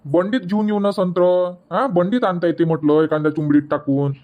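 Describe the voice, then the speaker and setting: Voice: mid-range voice, fairly even intonation, fairly quick, a little mellow, close to an everyday voice. An actor voicing a grumbling, dismissive village farmer husband in a comedy cartoon, with a rural Vidarbha Marathi accent. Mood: grumbling, skeptical.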